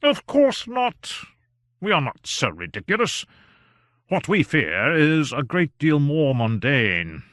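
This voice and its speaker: A man reading an emphatic government gentleman. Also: medium pitch, no echo, clean studio sound. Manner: emphatic, exclaims in surprise. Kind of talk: audiobook character reading.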